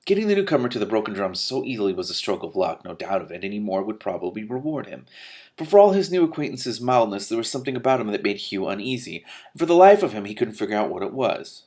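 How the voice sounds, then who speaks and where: A man with a fairly low voice reads a story aloud for his audience as host. His delivery is expressive, and he drops out of the narration into candid, informal asides, sometimes swearing. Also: quick pace. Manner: breaks off to react candidly, with swearing. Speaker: host reading a novel aloud with commentary. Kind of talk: expressive book reading with casual reactions.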